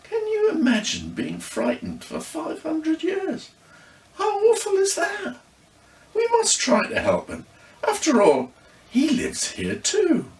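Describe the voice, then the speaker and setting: A man voicing an excitable, kind-hearted young girl in an audiobook ghost story, exclaiming earnestly. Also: very high voice, slow, reverberant, distant mic, voice pushed up, exaggerated voice. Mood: pleading.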